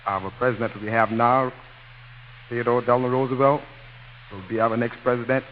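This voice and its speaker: On a noisy old radio recording, an American man of medium pitch answers an interviewer. He speaks haltingly, as a guest would. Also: fairly even intonation, phone-quality sound.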